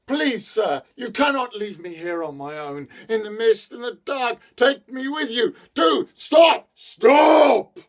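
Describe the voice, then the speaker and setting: Man doing a shrill shrieking demon woman. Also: big pitch swings, measured pace, hardly any pauses, a bit bright, heavily acted. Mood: furious. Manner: pleading then screaming, shrill. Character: female demon luring travelers, shrieking, furious.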